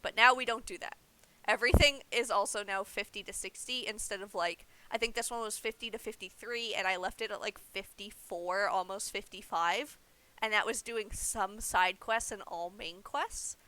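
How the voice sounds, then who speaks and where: A woman's voice: mid-range voice, moderate pace, pushed and bright, poor audio. A young woman giving casual livestream game commentary, explaining things informally as she plays. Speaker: game streamer.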